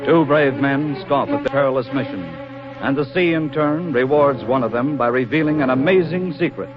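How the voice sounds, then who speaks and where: A man's voice: mid-range voice, normal speed, close to an everyday voice. A man announcing a 1940s radio play in a grand, suspenseful manner. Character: dramatic male announcer introducing a radio play. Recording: muffled 1940s radio, loud music.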